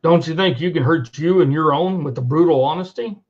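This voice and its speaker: A man's voice: fairly high pitch, brisk, muffled recording. A middle-aged man hosting an online show, delivering an unscripted rant in a blunt, profane, emphatic and repetitive way. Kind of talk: unscripted monologue rant to camera. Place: indoors at a computer.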